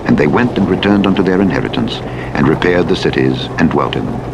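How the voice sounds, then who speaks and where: A man narrating scripture from a script in a solemn, measured, formal delivery. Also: a bass voice, expressive, vocal fry, highs cut off. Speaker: audiobook Bible narrator. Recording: loud vehicle engine noise throughout. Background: truck driving simulator game sounds.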